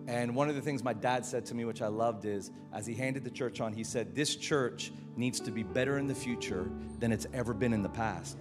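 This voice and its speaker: A man with an American accent preaching a lively, joking sermon as a guest pastor, often stumbling and repeating words. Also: medium pitch, fairly quick, few pauses, in a large hall, over music. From Philippines.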